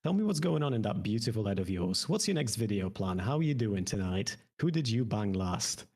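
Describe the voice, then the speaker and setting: A man's voice: on the low side, very animated, a little fast, darkish tone, breathy edge. Guy roasting his buddy on a call, mock sweet and swearing a lot. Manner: teasing, mock-affectionate, profane. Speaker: panelist. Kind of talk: rowdy online panel banter.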